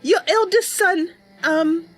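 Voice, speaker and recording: A woman's voice: extremely high pitch, slow pace, soft background music. A bubbly, chatty woman livestreamer talking casually and improvising roleplay as she plays a game. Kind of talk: casual live stream chat with improvised roleplay.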